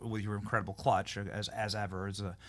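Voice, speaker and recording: A man's voice: lowish voice, flat delivery, fast talker. A man hosting a political interview show, talking emphatically and often repeating words as he builds a point. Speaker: show host.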